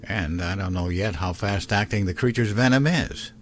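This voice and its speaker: An actor playing a cautious, concerned starship medical officer in a science fiction audio drama. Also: male voice, sits low, normal speed, a little hiss or hum, natural voice. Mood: serious, cautious.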